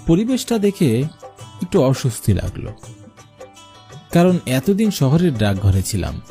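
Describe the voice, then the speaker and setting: A man plays an earnest postmaster who tells his own cartoon ghost story. His mid-pitched voice is mellow and moves expressively up and down, in a storyteller's manner. Music runs softly behind him. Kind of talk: first-person scripted cartoon horror narration.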